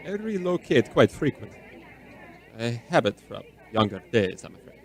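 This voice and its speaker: A man playing a courteous, melancholy and mysterious gentleman in a radio drama, speaking in a formal, measured way. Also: medium pitch, very animated, a faint crowd, a little hiss or hum. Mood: courteous, guarded. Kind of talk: audio drama dialogue.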